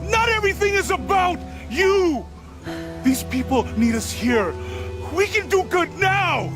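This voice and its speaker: Fed up but kind game companion man, high voice, swings a lot, music. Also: sing-song, measured pace, full character voice. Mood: exasperated. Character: exasperated, kind companion to an adventurer. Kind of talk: dramatic video game acting.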